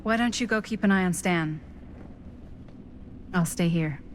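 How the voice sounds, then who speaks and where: A woman's voice: on the low side, unhurried, slight background noise, lightly acted. An actress playing a composed, skeptical middle-aged woman, guarded but concerned, in a cinematic horror game.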